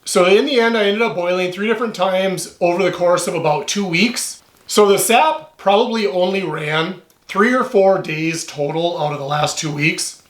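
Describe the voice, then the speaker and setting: A man with a hoarse voice, chatting in a relaxed, rambling way as he wraps up an outdoor vlog. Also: high voice, normal speed, hardly any pauses, roomy sound. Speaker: outdoor vlogger. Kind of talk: casual vlog talk to camera. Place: off-grid cabin in the northern woods.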